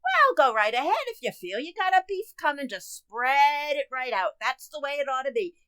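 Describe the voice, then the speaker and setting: A woman's voice: high-pitched, big pitch swings, moderate pace, sharp tone, no echo, exaggerated voice. A woman playing a brash, cheerful, stubborn housekeeper in a radio comedy, with blunt, slangy, folksy speech. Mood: brash, breezy. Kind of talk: old-time radio comedy acting.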